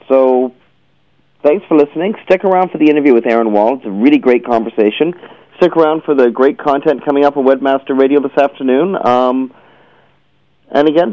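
A man's voice: mid-range voice, no echo. A man hosting a radio show in a casual, unscripted way. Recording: slightly dull, dry radio audio.

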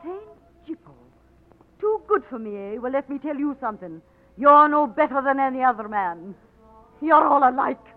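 A woman's voice: high-pitched, lively intonation, moderate pace. An actress playing a bedraggled, hungry young Irish woman, plaintive and reproachful, in a 1940s radio drama. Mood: scornful. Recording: tinny, noisy 1940s radio recording.

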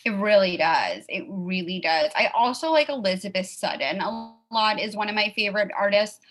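A woman in her thirties chatting casually as host, full of "like" and quick asides. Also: medium pitch, animated, a bit bright. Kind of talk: casual chat.

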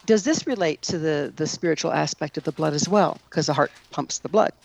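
A man's voice: fairly high pitch, fairly quick, gravelly, poor audio. A man interviewing conversationally, thoughtfully building long, leading questions.

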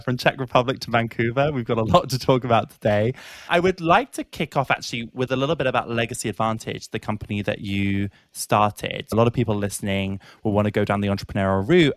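A man's voice: mid-range voice, no room sound. A man hosting a student-run podcast, introducing and questioning his guest in an upbeat, encouraging way. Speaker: podcast host.

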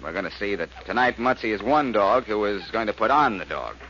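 An actor playing a cocky, quick-witted sleuth in a 1940s radio drama, with a wry, wisecracking American delivery. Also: male voice, on the low side, animated. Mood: relieved, wry. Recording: noisy, muffled old radio recording.

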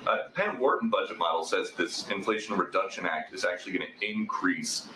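A man's voice: sits low, brisk, reverberant, noisy background. A man asking a pointed question at a press briefing, raising his voice to be heard, played back as a clip. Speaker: reporter.

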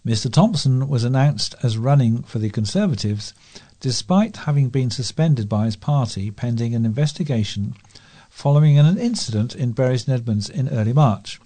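A man's voice: medium pitch, expressive, moderate pace, mellow. A man with a British accent reading scripted local news as a volunteer newsreader.

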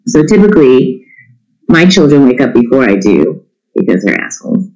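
A man's voice: mid-range voice, big pitch swings, normal speed, a little mellow, poor audio. A middle-aged man, chatting casually as an interview guest in a candid, irreverent, self-deprecating way. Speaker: interview guest, homeschooling parent. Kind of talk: casual chat.

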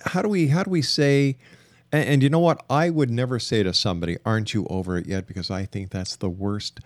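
A man hosting a talk radio interview, speaking in an emphatic, deliberate way and repeating phrases for emphasis. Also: mid-range voice, dry recording. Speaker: radio show host.

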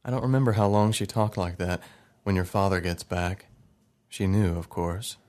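A man's voice: sits low, moderate pace, a little mellow, close to an everyday voice. An actor playing a young adult man who narrates memories of his childhood in a wistful, conversational way. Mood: reflective. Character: grown man recalling his childhood, thoughtful. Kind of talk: reflective dramatic narration.

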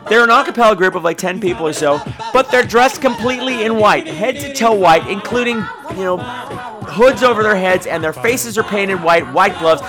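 A man's voice: sits high, animated, normal speed, music in the background. A man hosting a podcast, telling casual comic stories in a gossipy, catty, profane way with dramatic emphasis. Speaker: podcast host.

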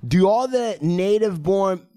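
Lively, swearing podcast host man. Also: high-pitched, unhurried, no echo, studio quality. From United States. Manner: animated, profane, pushy questioning. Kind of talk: casual chat, interviewing. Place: podcast studio.

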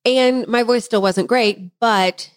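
Chatty, slightly hoarse woman. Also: on the high side, slow pace, no room sound, studio quality. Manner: chatty, talkative, laughs easily. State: slightly hoarse, recovering from laryngitis. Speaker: podcast co-host. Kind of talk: casual chat.

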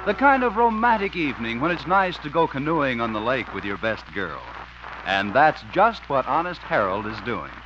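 A man's voice: mid-range voice, sing-song, normal speed, background noise. A man announcing and narrating a 1950s radio show in a scripted, period style. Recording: muffled old radio recording, music behind. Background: music.

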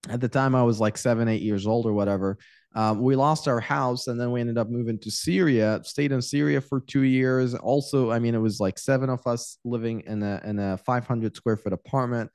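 A man's voice: medium pitch, fairly even intonation, brisk, darkish tone, clear, resonant tone, no room sound, clean studio sound. A man in his twenties, raised in Iraq, telling his life story candidly as a podcast guest and often repeating words as he thinks. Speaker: podcast guest, real estate investor. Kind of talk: casual interview storytelling.

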